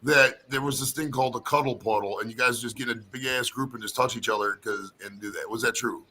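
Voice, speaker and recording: A man hosts a loose group chat, firing off joking questions at a rapid clip. His voice is bright and sharp. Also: mid-range voice, steady tone, a little hiss or hum. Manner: fast, joking, teasing questions.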